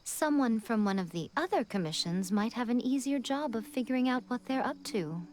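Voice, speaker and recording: A woman's voice: fairly high pitch, lively intonation, normal speed, a little hiss or hum, close to an everyday voice. A woman playing a sly, elegant shrine maiden in a video game, poised and formal, teasingly condescending as she gives orders. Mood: teasing, instructing. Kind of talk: English video game voice acting.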